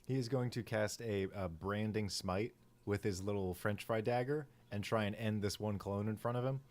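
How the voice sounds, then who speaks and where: Man, fairly low voice, tabletop game player, casual live chat. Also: moderate pace, a little mellow.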